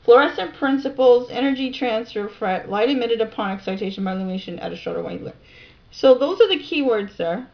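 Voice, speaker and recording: A woman narrating a video, reading scientific text aloud with informal asides, halting over technical terms and correcting herself. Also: on the high side, animated. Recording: dull lo-fi sound.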